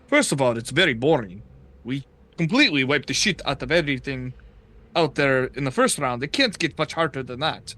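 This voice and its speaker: A man speaking in character at a tabletop game, hesitant and filling pauses with uhs. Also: mid-range voice, animated, bright, forceful tone. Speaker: player. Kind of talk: in-character tabletop roleplay chat.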